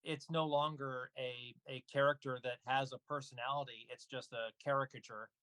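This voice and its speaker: A man's voice: mid-range voice, steady tone, moderate pace, a bit bright, dry recording. A man talking casually as an interview guest, explaining his craft thoughtfully and step by step. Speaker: guest audio dramatist.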